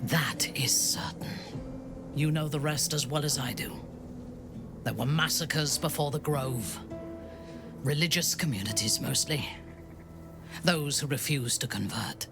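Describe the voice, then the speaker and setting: A woman playing a proud, vengeful, long-lived dark elf noblewoman in a video game, speaking in a measured, guarded, formal manner. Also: sits low. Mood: cold resolve. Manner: measured, deliberate, grave, formal phrasing. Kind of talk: dramatic video game acting. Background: background music.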